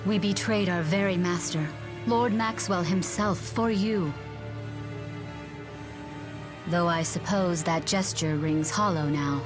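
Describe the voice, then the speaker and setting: An actress playing a solemn, dignified, loyal female elemental spirit in a video game, speaking formally and with measured phrasing. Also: lowish voice, music in the background, close to an everyday voice. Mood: solemn, regretful. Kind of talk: dramatic video game voice acting.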